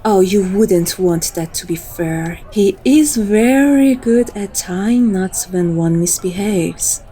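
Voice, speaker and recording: Casting: female actor for seductive vampire woman, teasing and intimate, unhurried, soft dark timbre, ASMR roleplay. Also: medium pitch, expressive. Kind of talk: scripted ASMR roleplay acting.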